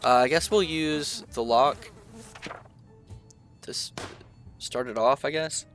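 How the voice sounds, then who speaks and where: A man's voice: medium pitch, slow pace, faint music behind. Stuffy-nosed young man playing a game. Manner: relaxed, chatty, thinks aloud with many fillers. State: stuffy from allergies. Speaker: gaming video creator. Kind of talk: casual let's-play commentary, reading game text aloud.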